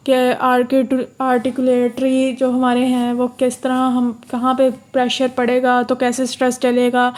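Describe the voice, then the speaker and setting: High, level-voiced woman tutor. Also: a little mellow. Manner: reads English lines slowly, then explains them in Urdu; repetitive, checks in with "theek hai". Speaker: online course tutor. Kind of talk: lecture explaining course notes.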